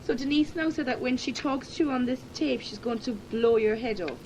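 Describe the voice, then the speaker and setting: A teenage girl from Cork with a Cork Irish accent, talking fast and jokingly on a tape letter, quick to protest and laugh. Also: high voice. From Ireland. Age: late teens. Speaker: schoolgirl recording a tape letter. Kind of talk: casual chat recorded on a home cassette. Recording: hissy 1980s cassette tape.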